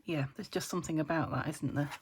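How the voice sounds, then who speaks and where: A middle-aged woman with a croaky voice from a cold, chatting casually while she crafts and asking little tag questions. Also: low voice, quick pace, slight background noise. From England. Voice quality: gravelly. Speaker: craft vlogger. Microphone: phone camera.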